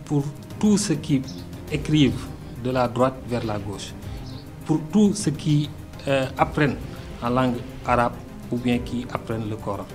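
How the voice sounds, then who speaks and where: A Senegalese man speaks French as his second language, answering questions in a radio studio. His voice sits at an ordinary middle pitch and he keeps stopping to search for words. Faint music runs softly underneath. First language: Arabic-educated, not a French native speaker. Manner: hesitant, many fillers and restarts, earnest. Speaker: political party delegate, radio guest. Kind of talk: radio political interview.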